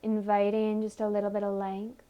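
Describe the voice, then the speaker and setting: A woman leading a guided meditation in a soothing, gentle manner, offering unhurried invitations. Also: mid-range voice, completely flat delivery, highs cut off. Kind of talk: guided meditation, body scan narration.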